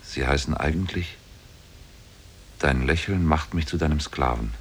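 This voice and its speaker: A man's voice: extremely low pitch, unhurried, slight background noise. An actor playing a mighty, solemn demon sorcerer in love with his captive, in a 1950s German radio drama. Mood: lovestruck. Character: mighty demon sorcerer, lovestruck captor of a woman. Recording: old 1950s radio play on cassette.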